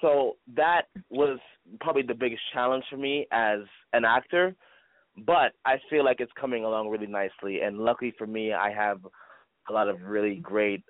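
A man in his twenties speaks conversationally as an interviewed actor. His voice is fairly low. The sound is dry and band-limited, like a phone call. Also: normal speed, dry recording. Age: 20s. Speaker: stage actor, interview guest. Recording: tinny phone line, dry.

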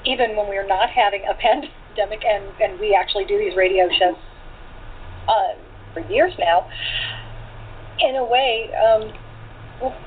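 Woman, higher voice, dry matter-of-fact chat, tinny call audio, faint background noise. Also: a little slow, pauses often. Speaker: actor in a radio play. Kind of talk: casual interview chat. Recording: tinny phone-like internet call, noisy.

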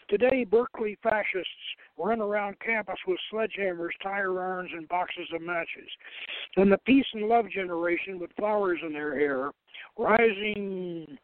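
A phone-quality recording of an older man hosting a radio talk show. His voice sits a little high and he speaks deliberately, often doubling back on a word. The sound is dry, with no room around it. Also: no room sound. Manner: halting, deliberate delivery with repeated words. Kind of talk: reading a written commentary and chatting about it on a talk show. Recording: tinny, phone-like internet radio line.

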